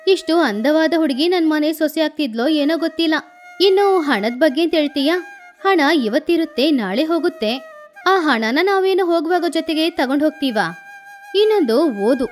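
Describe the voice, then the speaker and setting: A proud, protective middle-aged mother-in-law acted by a woman in a moral storybook tale. She speaks firmly and emphatically in a high voice, with faint music behind. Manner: firm, emphatic, defensive of family.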